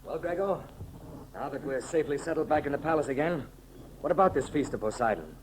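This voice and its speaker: Guy doing bold commanding radio serial hero, kinda high voice, declamatory, old hissy recording. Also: lively intonation, brisk, natural voice, rough recording. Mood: puzzled, concerned. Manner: declamatory, decisive. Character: bold, commanding young hero turned emperor of Atlantis. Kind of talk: 1930s radio serial acting.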